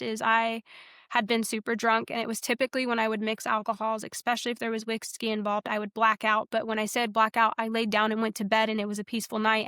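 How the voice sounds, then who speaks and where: A woman's voice: fairly high pitch, sharp tone, clean tone, no room sound, studio quality. A young woman frankly telling her personal faith story in a podcast interview, earnest, with many fillers and restarts. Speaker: guest sharing her testimony.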